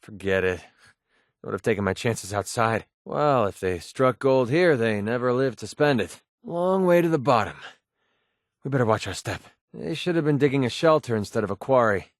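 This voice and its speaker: A man's voice: mid-range voice, animated, normal speed, no room sound, clean studio sound, close to an everyday voice. An actor playing a cynical young mercenary gunman in a video game, talking in a casual, sardonic, world-weary way. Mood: wry, matter-of-fact. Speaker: game companion character. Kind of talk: scripted video game dialogue.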